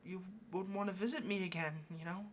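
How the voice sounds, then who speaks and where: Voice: medium pitch, brisk, slight background noise, close to an everyday voice. A performer playing a lonely, pleading, affectionate caged demon in an intimate ASMR roleplay, speaking in a hesitant, coaxing way. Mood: wistful, shy. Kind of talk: ASMR roleplay, intimate one-sided conversation.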